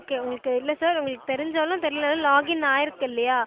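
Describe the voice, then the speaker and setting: High-pitched Tamil woman on support calls. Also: fairly even intonation, fast, a loud crowd, rough recording. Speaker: customer service agent and translator. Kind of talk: phone support call, interpreting.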